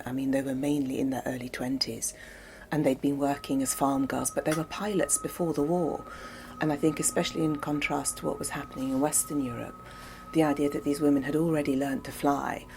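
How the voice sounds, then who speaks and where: An actress speaking in a thoughtful, earnest way in a behind-the-scenes interview. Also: female voice, low voice, steady tone, fairly quick, a little mellow, slight background noise.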